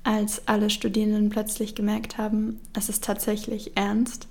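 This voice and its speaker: A young woman hosts a student podcast at a middle pitch. Her delivery is level and unhurried, with little rise and fall. She reads from a prepared script. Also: completely flat delivery, vocal fry, warm tone. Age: young adult. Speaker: podcast host.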